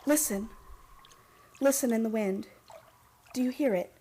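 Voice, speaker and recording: Woman acting young heiress, fairly high voice, earnest, urgent, impassioned, radio play. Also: a little slow, dark tone, slight background noise, close to an everyday voice. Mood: anxious. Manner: dramatic, urgent, earnest delivery.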